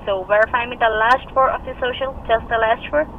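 A woman's voice: a little high, steady tone, a little fast, a little hiss or hum. A woman on a phone call, repeating scripted demands in an insistent tone. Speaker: scam caller. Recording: muffled phone audio over car speaker.

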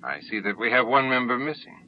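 A man voicing a vain, controlling alien grand master in an audiobook, formal, measured and condescending. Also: medium pitch, big pitch swings, slight background noise, natural voice. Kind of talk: audiobook character acting. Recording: dull lo-fi audiobook tape with music.

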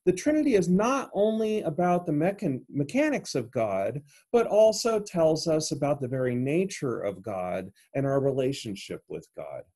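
A man's voice: moderate pace, hardly any pauses, breathy edge. Man preaching a homily from home. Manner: earnest, explanatory, lively pitch swings. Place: home room, indoors. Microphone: webcam at desk.

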